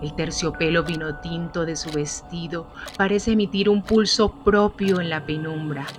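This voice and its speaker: A woman narrating a scripted gothic mystery story in a measured, solemn manner. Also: deep voice.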